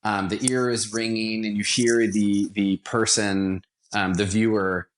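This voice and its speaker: A man's voice: fairly low pitch, little pitch movement, unhurried. A man speaking as an interview guest, explaining his creative work as a radio theatre director in an enthusiastic, conversational way.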